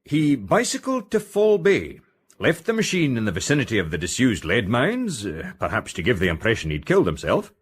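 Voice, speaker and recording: An earnest Scottish police sergeant in a radio mystery. The voice is husky, with lively swings in pitch, and the manner is methodical and respectful to his superiors. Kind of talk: detective radio drama acting.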